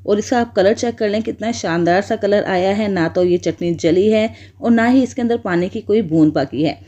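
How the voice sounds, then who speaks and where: A woman, calmly walking viewers through a home cooking recipe step by step. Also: medium pitch, moderate pace. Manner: patient, repetitive step-by-step instruction. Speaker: cooking channel host. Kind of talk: voice-over cooking tutorial.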